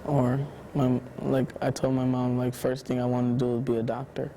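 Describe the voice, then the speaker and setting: Teenage boy, very flat delivery, mellow tone, halting, faint hiss. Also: medium pitch, poor audio. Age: teens. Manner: laid-back, halting, lots of "like". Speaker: student interviewee. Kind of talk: documentary interview answers.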